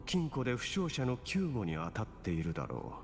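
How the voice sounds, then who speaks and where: Game villain: smug, theatrical cleric with mid-pitched, very animated delivery, composed mockery, big hall echo. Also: male voice, rapid-fire. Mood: calm menace. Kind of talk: Japanese game voice acting. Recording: long hall reverb, game music behind. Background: game music.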